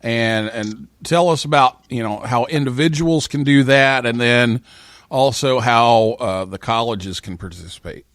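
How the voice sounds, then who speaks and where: A man's voice: medium pitch, a little slow, a bit bright, no echo, studio quality. A man hosting a casual live interview, informally prompting his guests with questions. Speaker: talk show host.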